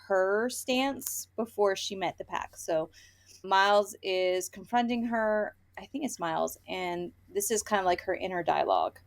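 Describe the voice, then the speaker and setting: A woman's voice: medium pitch, unhurried, creaky. Chatty woman co-hosting a book podcast. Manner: informal, chatty, gushing, frequent "like". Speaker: book review podcast co-host. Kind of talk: casual book chat with read-aloud quotes.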